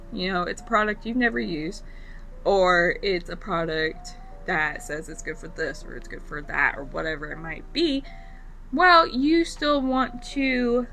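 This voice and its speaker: Bright, raspy-voiced young woman vlogging. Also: medium pitch. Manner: rambling, lots of fillers and repeats. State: raspy from bronchitis. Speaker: esthetician giving tips. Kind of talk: casual vlog talk to camera.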